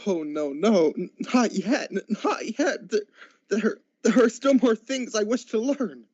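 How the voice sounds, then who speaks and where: Man acting a slow, stammering, frightened old miser. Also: high-pitched, animated, a little mellow, no room sound. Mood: anxious pleading. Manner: stammering, dramatic. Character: frightened, pleading old miser seeking redemption. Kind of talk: radio drama acting. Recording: dull lo-fi audio.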